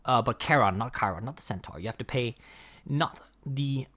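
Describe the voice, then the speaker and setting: A man's voice: mid-range voice, normal speed, pushed and bright. A man whose first language is Danish, hosting a casual music reaction and talking in a rambling way with many fillers and restarts. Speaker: reaction video host.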